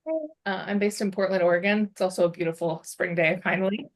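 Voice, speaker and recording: Woman, lowish voice, casual hesitant co-host on a video call. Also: quick pace. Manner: relaxed, many fillers, hesitant. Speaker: illustrator and designer, co-host. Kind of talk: casual self-introduction.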